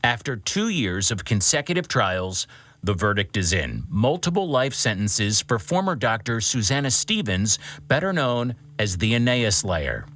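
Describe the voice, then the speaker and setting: A man's voice: on the low side, sing-song, unhurried, fairly bright tone, a little hiss or hum. An actor playing a male newscaster, announcing grim headlines in a dramatic scripted style for an audio drama. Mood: grave, dramatic. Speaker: news anchor.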